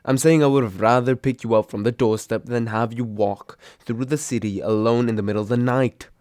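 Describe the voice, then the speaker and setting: A man's voice: mid-range voice, fairly even intonation, fairly quick, a little mellow, no room sound, studio quality, close to an everyday voice. A young man acting a grumpy, sarcastic but secretly caring roommate woken from sleep, grumbling and sighing in an intimate roleplay audio drama. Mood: exasperated, protective. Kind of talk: intimate roleplay audio drama, one side of a phone call.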